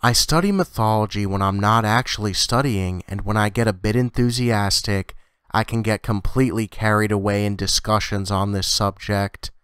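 A man's voice: lowish voice, moderate pace, fairly bright tone, clear, resonant tone, no room sound, clean studio sound. A man reading a scripted first-person scary story as a horror narrator.

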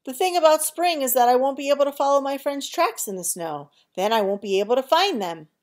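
A cranky storybook rabbit grumbles about losing the snow, his voice pitched high and swinging widely as a woman reads him aloud. He sounds put out and complaining. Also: animated, fairly quick, darkish tone. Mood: grumbling. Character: grumpy young rabbit who loves winter.

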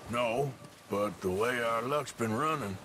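A man's voice: mid-range voice, a little slow, noisy background, close to an everyday voice. An American actor playing a middle-aged, laconic and loyal outlaw gunman in a western video game, speaking in a terse, understated drawl. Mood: concerned, wary. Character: laconic, wary, loyal male outlaw gunslinger.

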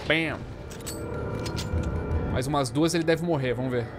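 A man's voice: on the high side, measured pace, over loud music. A Brazilian man in his thirties giving casual, slangy, exclamatory commentary as he plays a video game. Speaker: gaming streamer. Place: small indoor room. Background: video game soundtrack and effects.

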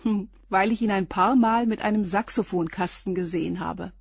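A woman's voice: medium pitch, normal speed, vocal fry, breathy edge, dry recording, studio quality. A woman playing a concierge of about forty in a radio play, answering in a plain, matter-of-fact way. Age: 40s.

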